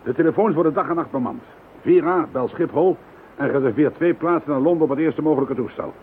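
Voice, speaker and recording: A man's voice: on the high side, fast, close to an everyday voice. Een man die in een hoorspel een sceptische, kordate hoofdinspecteur van politie speelt. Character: gruff skeptical male police chief inspector. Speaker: chief inspector. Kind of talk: radio play acting. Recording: lo-fi phone-band radio recording with faint hiss.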